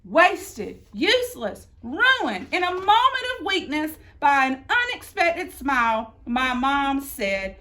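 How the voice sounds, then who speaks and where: A woman reading a children's picture book aloud in an expressive, theatrical way, drawing out words for emphasis. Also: high-pitched. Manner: theatrical, deliberate phrasing with drawn-out emphasis. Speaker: storybook reader.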